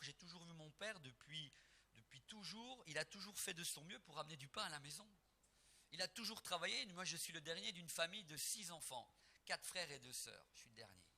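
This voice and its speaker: Emphatic preaching man, very bright voice. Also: a little high. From Portugal. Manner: emphatic, rising to near-singing on key phrases; soft and reflective when telling family memories. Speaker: gospel singer and preacher. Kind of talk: preaching between songs at a concert.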